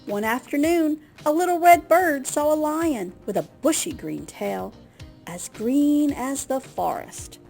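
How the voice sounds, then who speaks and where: Woman storyteller for children's read-aloud: higher-pitched, very lively intonation, unhurried delivery. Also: vocal fry, soft background music. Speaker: storyteller reading children's books.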